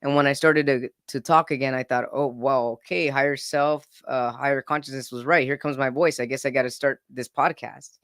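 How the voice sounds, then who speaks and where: A man's voice: mid-range voice, steady tone, brisk, few pauses, a bit bright, clean tone, no room sound. Earnest man in his forties, dry sound. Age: 40s. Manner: earnest, repeats words when starting sentences. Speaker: podcast guest. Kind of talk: casual personal storytelling.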